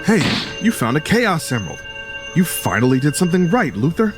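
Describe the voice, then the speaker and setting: A man's voice: a little high, sing-song, fairly quick, over loud music. A man voicing a cocky, youthful hedgehog hero in a comic dub, casual and wry. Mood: defiant.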